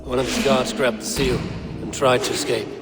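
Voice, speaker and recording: A man's voice: mid-range voice, fairly even intonation, normal speed, loud music behind the voice, lightly acted. A man acting a terse, grim Viking raider. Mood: grim, analytical. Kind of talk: video game acting.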